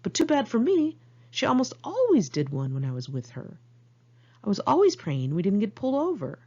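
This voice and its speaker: An American woman reads her own memoir as an informal audiobook, with a candid, wry, expressive manner. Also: medium pitch, normal speed, slightly rough, lo-fi sound. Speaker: author reading own memoir.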